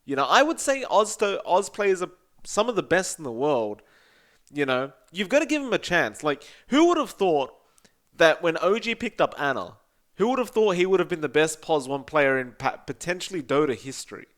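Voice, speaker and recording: A man's voice: on the high side, very animated, normal speed, fairly bright tone. A young Australian man co-hosting a gaming podcast, ranting bluntly with profanity and often calling his co-host by name. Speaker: podcast co-host and esports caster. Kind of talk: casual podcast chat.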